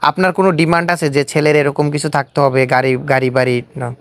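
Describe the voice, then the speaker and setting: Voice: medium pitch, fairly even intonation, fairly quick, no room sound. An interviewer with a Bangladeshi accent, hosting a casual interview and probing by repeating answers back as questions. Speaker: interviewer and host. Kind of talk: casual on-camera street-style interview.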